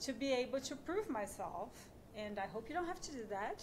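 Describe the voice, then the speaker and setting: A woman's voice: a little high, sing-song, a little fast, a little hiss or hum. A woman from Brazil with a Brazilian accent, telling a personal story conversationally as a journalist on a panel. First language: Portuguese.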